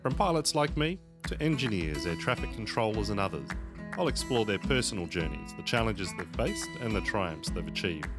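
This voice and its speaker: A man's voice: fairly low pitch, big pitch swings, moderate pace, over music. A man hosting an aviation podcast, who reads a scripted opening and then chats casually, prompting his guest with questions. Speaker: podcast host and pilot. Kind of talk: scripted intro, then casual interview chat.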